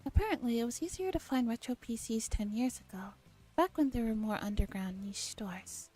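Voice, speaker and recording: Female ASMR narrator for visual novel read-aloud, slightly high, warm soft tone, background music. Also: animated, moderate pace.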